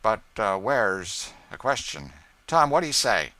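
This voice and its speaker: A man reading an audiobook and voicing a sly, nervous lawyer who talks in a smooth, conciliatory way. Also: fairly low pitch, big pitch swings, unhurried, bright, forceful tone, no echo, heavily acted. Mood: wheedling. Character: sly, wheedling lawyer and slave catcher, nervous. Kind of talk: audiobook character voice.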